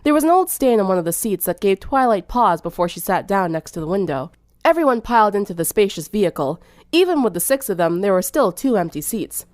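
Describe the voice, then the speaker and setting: A young woman reads a story as its narrator. Her pitch is middling and moves in a lively way through the scripted prose, and the recording is dry and close. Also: fairly quick, dry recording. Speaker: fan fiction narrator.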